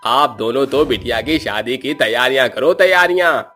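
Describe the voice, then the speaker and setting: A man's voice: medium pitch, animated, normal speed, bright, forceful tone. A man voicing a sly, talkative village priest who draws out his words and repeats them for emphasis. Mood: coaxing.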